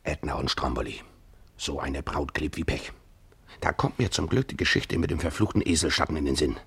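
An actor in a German radio play voicing a gruff, hard-drinking sea captain who blusters and dodges marriage. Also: male voice, rumbling low, lively intonation, brisk, fairly bright tone, full character voice. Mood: scheming aside. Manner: blustering, nautical swagger with muttered asides. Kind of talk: German radio play acting, narrating and dialogue.

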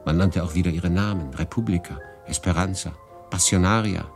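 A man acting a guarded, quietly jealous refugee who recounts his exile in a German radio drama. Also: low-pitched, a little fast, faint music behind. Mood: brooding.

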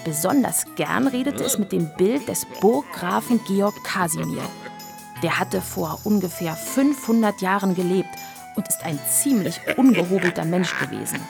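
A woman's voice: on the low side, lively intonation, normal speed, vocal fry, music in the background. A woman narrator reading a scripted children's story in a radio play.

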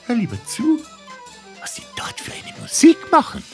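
Cheeky puppet jester, chatty, swoops up and down; music under it. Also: male voice, extremely high pitch, huge pitch swings, normal speed, exaggerated voice. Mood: playful. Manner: chatty, argues with himself, playful. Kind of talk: children's radio play acting. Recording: radio play with music behind.

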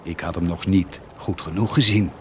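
An actor playing a bitter priest turned traitor in a radio drama, speaking in a grave, measured, accusing manner. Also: male voice, sits low, steady tone, darkish tone, slight background noise, natural voice. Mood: resigned, bitter. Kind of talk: theatrical radio drama acting.

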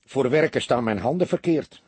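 Man voicing a husky, cheeky small-time crook. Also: mid-range voice, expressive, moderate pace, a little mellow, no echo, voice pushed up. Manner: colloquial, grumbling street talk. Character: cheeky small-time male crook, defensive and childishly curious.

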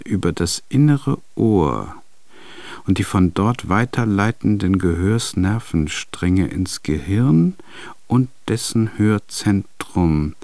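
Gravelly, brooding man. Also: deep voice, darkish tone, no room sound, full character voice. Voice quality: gravelly, husky. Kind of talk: radio drama monologue.

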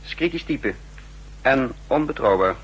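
An actor playing a brisk, sardonic counterespionage chief who schemes and commands, in a 1960s radio play. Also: male voice, mid-range voice, animated, low-quality recording. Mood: commanding. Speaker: narrator and lead character. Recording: husky-sounding old 1960s radio recording.

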